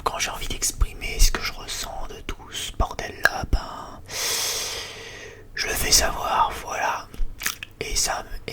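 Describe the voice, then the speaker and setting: A man gives a whispered ASMR chat in a halting, rambling and hesitant way. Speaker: ASMR video creator.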